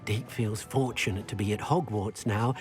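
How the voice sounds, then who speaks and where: An actor voicing a humble, wistful house-elf in a fantasy video game. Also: male voice, lowish voice, steady tone, normal speed, lightly acted. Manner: humble, speaks in third person. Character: humble, wistful house-elf, speaks of himself in third person. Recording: game audio under clear music.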